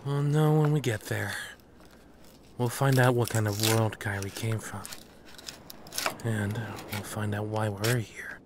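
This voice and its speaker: A man's voice: fairly low pitch, steady tone, unhurried, long pauses, background noise. A man playing a cool, teasing and pensive teenage boy in a manga dub, smug yet thoughtful.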